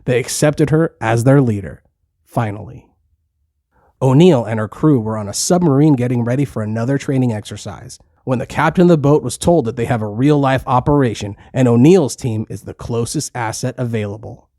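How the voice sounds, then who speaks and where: A man's voice: medium pitch, a little fast, mellow, no room sound. Man narrating a story in a dry, close recording. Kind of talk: scripted children's story narration.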